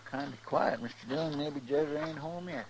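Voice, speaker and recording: An American actor playing a folksy, deferential deputy sidekick with a rural drawl in an old radio western. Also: male voice, on the high side, brisk, close to an everyday voice. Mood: curious. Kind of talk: 1950s radio western acting. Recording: noisy old radio recording.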